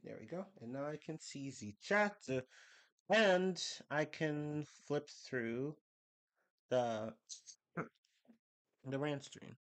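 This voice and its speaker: A man's voice: a little high, a bit bright. A young man chatting casually on a live stream, rambling with restarts, slightly congested from a cold. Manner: rambling, restarts and laughs, quick bursts.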